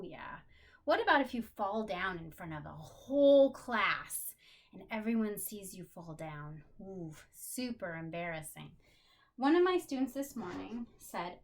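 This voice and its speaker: A woman teacher speaking directly to young children in an animated, encouraging way, with simple phrases and questions. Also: fairly high pitch. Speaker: special education teacher. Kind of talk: lesson for young children, talking directly to pupils.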